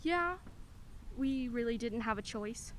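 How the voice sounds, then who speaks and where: Actress as a weary, wry single mother, highish voice. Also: lively intonation, takes it slowly, slight background noise. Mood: weary, guarded. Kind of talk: radio play acting.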